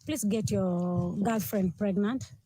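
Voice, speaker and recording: A woman's voice: medium pitch, slow, warm tone. A woman with an African accent speaking earnestly, admonishing and pleading straight to her listeners. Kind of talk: direct address opinion monologue to camera.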